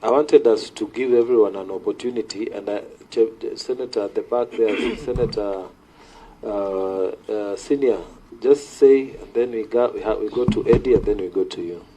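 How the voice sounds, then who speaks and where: A low-pitched man with a mellow, husky voice and a Kenyan accent. He chairs a formal hearing in short, halting directions. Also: rough recording. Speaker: committee chair.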